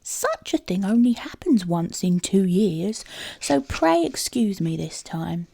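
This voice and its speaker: Woman playing a sly, greedy storybook tomcat, animated. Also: mid-range voice, takes it slowly, heavily acted. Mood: smooth pretence. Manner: smooth-talking, dismissive, emphatic. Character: sly, greedy male cat, smug and domineering. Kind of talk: storybook character voice.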